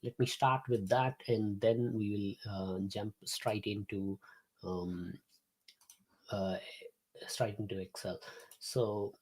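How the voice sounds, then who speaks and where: A man's voice: on the low side, steady tone, slow, pauses often, a little mellow, rough recording. A man, hosting a live-streamed class from his home office, chatting to viewers with many fillers and restarts. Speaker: host and instructor.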